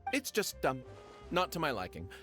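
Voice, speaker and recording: Awkward, evasive game customer. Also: male voice, on the high side, huge pitch swings, normal speed, a bit bright, soft background music. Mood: uneasy. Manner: hesitant, polite.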